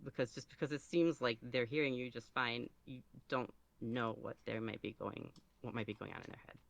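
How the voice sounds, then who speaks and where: A man's voice: medium pitch, steady tone, a little fast, vocal fry, a bit bright. A man speaking casually as an interview guest, rambling and somewhat self-conscious as he goes. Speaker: podcast guest, ASMR roleplay creator.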